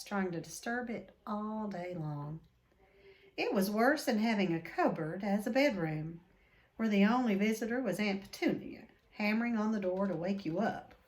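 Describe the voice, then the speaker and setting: A woman reading a children's book aloud expressively, with deliberate dramatic emphasis. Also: medium pitch, rough recording. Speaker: read-aloud storyteller.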